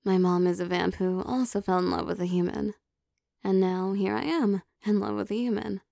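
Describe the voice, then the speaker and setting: A woman playing an affectionate, possessive vampire girlfriend in an intimate ASMR roleplay, speaking in a soft, teasing, coaxing manner. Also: mid-range voice, steady tone, moderate pace, gravelly, no room sound, studio quality. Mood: teasing, fond. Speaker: voice actor.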